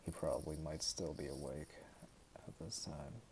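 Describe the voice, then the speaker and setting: A young man in his twenties from the United States, talking hesitantly and softly to the camera at home late at night. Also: rumbling low, gravelly, a little hiss or hum. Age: 20s. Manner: hesitant, halting and soft-spoken. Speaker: vlogger. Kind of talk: personal talk to camera.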